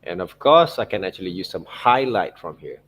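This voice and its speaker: A man giving an unscripted step-by-step tutorial as an art teacher, halting and repetitive, often checking in with short tag words. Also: low-pitched, big pitch swings, fairly bright tone, husky. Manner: halting, repetitive phrasing with frequent "okay, right, see" checks. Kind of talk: unscripted step-by-step drawing demonstration.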